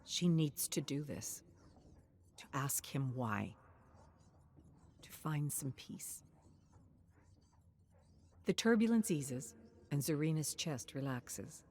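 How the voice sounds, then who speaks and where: A woman's voice: deep voice, lively intonation, slow pace, long pauses, a little mellow, breathy edge. A woman reading scripted, dramatic story narration.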